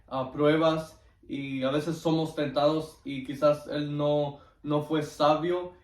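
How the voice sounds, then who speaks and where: Man, devout and halting testimony, slightly high voice, away from the mic. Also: darkish tone. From Guatemala. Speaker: interview guest giving testimony. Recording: roomy echo, distant microphone.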